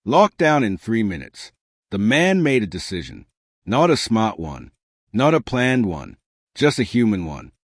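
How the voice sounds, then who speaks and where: A man narrating a science fiction story in a measured, dramatic way with short pausing phrases. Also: fairly low pitch, big pitch swings, no room sound, studio quality. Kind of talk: scripted dramatic sci-fi audiobook narration.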